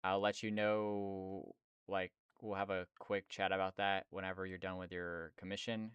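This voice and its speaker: A man's voice: low-pitched, level tone, normal speed, a bit bright, clear, resonant tone, no echo. A young man streaming and chatting casually about game development, slangy and rambling with lots of fillers. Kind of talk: casual chat.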